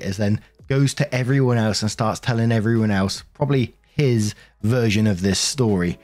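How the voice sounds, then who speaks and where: A man's voice: fairly low pitch, a little mellow. A man reading online stories aloud and adding chatty asides as narrator. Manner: conversational, with frequent asides.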